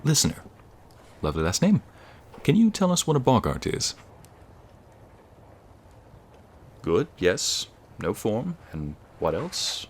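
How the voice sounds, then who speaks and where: A man with an American accent playing an encouraging, scholarly wizard school professor in an ASMR roleplay, lecturing students in a measured, formal way. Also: fairly low pitch, slight background noise. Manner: measured, formal, teacherly, addressing students directly.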